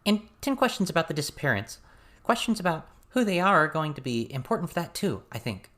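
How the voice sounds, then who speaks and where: A woman's voice: sits low, sing-song, fairly quick, fairly bright tone. A woman playing an inquisitive, chatty, practical teenage girl in an audiobook. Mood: eager, curious.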